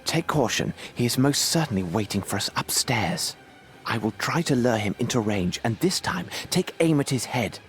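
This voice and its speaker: An actor playing a shrewd, authoritative Victorian gentleman detective in a video game. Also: mid-range voice, expressive, normal speed, soft background music, lightly acted. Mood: grave, calculating.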